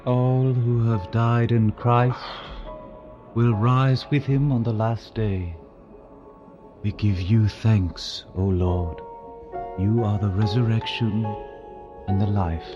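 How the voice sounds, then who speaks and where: A man playing a solemn clergyman in an audio drama, praying in measured, liturgical phrases. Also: on the low side, fairly even intonation. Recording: dull sound under clear music.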